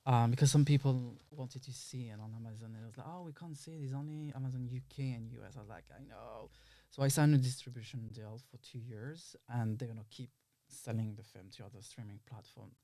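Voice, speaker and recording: Mellow man chatting candidly, close dry sound. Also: medium pitch, a little fast, no echo. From Belgium. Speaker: podcast guest, filmmaker. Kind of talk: casual interview chat.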